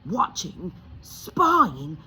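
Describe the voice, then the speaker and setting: A woman's voice: fairly low pitch, huge pitch swings, extremely slow, pushed and bright, slight background noise, heavily acted. A woman voicing a blustering, hot-tempered middle-aged uncle who stammers and barks in a storybook reading. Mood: wild, panicky. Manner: stammering, barking orders.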